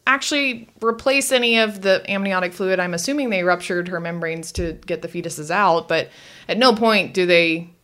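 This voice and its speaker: A woman's voice: on the low side, normal speed, a bit bright. A woman co-hosting a casual medical podcast, talking in an informal, conversational way. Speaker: co-host of a medical review podcast.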